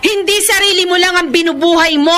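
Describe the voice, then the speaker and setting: A woman's voice: very high voice, brisk, bright, forceful tone. A woman playing a hot-tempered elder sister in a Filipino radio drama, berating sharply and melodramatically. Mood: angry, berating.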